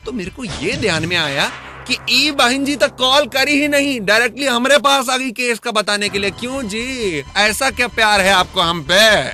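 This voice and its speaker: A man's voice: very high voice, expressive, moderate pace, bright, forceful tone, soft background music, heavily acted. A man playing a cheeky, wisecracking detective sidekick in a radio crime drama, with teasing rustic banter. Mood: mocking, probing.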